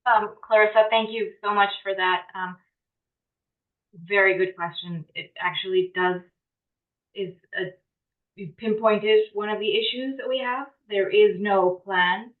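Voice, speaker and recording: A woman taking part in an online course discussion, speaking softly and haltingly, repeating words as she searches for them. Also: medium pitch, steady tone. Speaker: course participant. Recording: tinny phone-like line.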